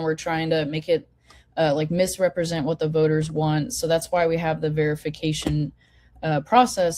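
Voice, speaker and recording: A woman with a hoarse voice from a cold, hosting an online meeting and walking attendees through a website in a casual, filler-heavy way. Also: low-pitched, darkish tone. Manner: informal, lots of fillers and restarts. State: hoarse, getting over a cold. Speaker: forum host. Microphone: video call.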